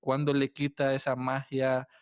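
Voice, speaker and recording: A man with a Latin American Spanish accent that uses vos, hosting a casual interview and thinking aloud in a halting way. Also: medium pitch, completely flat delivery, clean tone, dry recording, studio quality. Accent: Latin American Spanish with voseo. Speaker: podcast host and interviewer.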